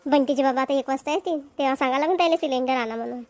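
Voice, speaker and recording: A woman's voice: sits high, racing, a little mellow, no echo, natural voice. A woman voicing a fretful homemaker mother in a Marathi cartoon comedy, with a rural Vidarbha accent, fussing and thinking aloud. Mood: worried. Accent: Maharashtrian, rural Vidarbha dialect. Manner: fussing, talks problems through aloud. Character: fretful homemaker mother of two young children.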